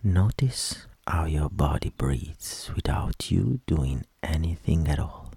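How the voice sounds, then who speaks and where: A man leading a guided meditation in a soft, soothing voice with gentle instructions that trail into whispers. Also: extremely low pitch, animated, gravelly, breathy edge, dry recording. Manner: slow, gentle instructions with long pauses.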